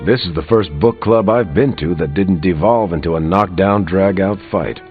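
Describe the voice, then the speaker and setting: A man playing a seasoned superhero in a video game, with a dry, measured way of telling things. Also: deep voice, narrow, tinny audio, faint music behind, natural voice. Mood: dry, amused. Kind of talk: video game character dialogue.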